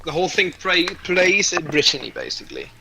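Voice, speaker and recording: A man's voice: a little high, wildly swooping pitch, a little fast, vocal fry, a loud crowd, poor audio. A man chatting casually on a livestream, blunt and questioning, with a habit of swearing.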